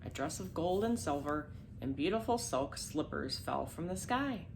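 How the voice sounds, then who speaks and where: Creaky low-voiced woman reading a fairy tale. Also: expressive, moderate pace, slight background noise. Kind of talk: scripted children's storybook narration.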